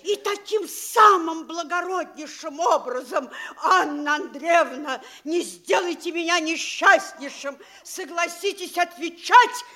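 An actress playing a vain, affected provincial mayor's wife in a theatrical radio play, gushing and self-admiring. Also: female voice, extremely high pitch, measured pace, hardly any pauses, bright, forceful tone, heavily acted. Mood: gushing, boastful.

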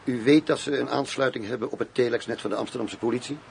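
Radio play: man playing world-weary undercover agent, dry and wry, gravelly, faint noise behind. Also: medium pitch, fairly even intonation, fast talker, a little mellow, natural voice. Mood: measured, concerned. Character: male undercover agent posing as a big gangster boss. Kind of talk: radio drama dialogue.

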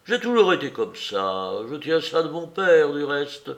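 A cranky old office clerk bursts out in sputtering indignation, as a male reader puts him on. The voice sits high and loud and swings wide in pitch. He is a touchy, easily offended storybook figure. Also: very animated, a little slow. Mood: indignant. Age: older.

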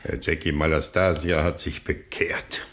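An actor playing a gangster boss turned solemn and repentant in a German radio play, speaking gravely and declaiming. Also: male voice, extremely low pitch, flat delivery, unhurried, fairly bright tone.

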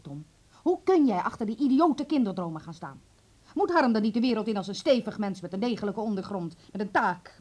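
A woman's voice: mid-range voice, darkish tone, lightly acted. A woman acting a bitter, domineering, scornful middle-aged mother in a Dutch radio drama, indignant and reproachful.

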